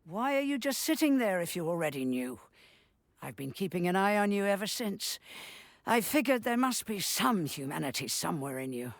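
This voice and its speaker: A woman's voice: on the low side, very animated, moderate pace, dry recording, studio quality. A woman playing a bitter, sardonic, foul-mouthed old granny who scolds bluntly, as acted in a video game cutscene. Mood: bitter, commanding. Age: older.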